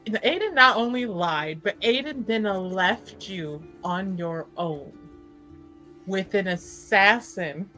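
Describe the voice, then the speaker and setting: A woman narrating a character in a tabletop roleplaying game, casually improvising in a halting, emphatic, conversational way. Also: medium pitch, expressive, sharp tone, soft background music.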